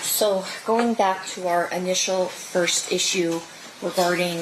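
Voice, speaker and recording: An American woman presiding as judge, speaking formally and procedurally in measured courtroom instructions and rulings. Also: on the low side, fairly even intonation. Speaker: judge presiding over a criminal trial. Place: indoor courtroom. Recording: noisy, echoey live courtroom feed.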